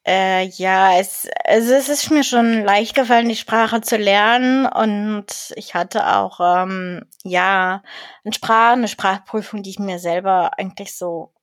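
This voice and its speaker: A woman's voice: mid-range voice, measured pace, dry recording, studio quality. A young German woman talking casually as an interview guest, rambling with many fillers and restarts and laughing often.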